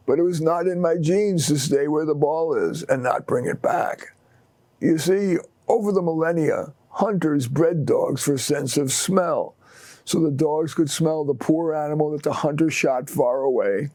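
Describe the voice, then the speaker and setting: Man reading as a devoted old dog, mellow voice. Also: fairly high pitch, no room sound. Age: older. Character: tired old male dog, devoted and tender storyteller. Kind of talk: first-person short story reading.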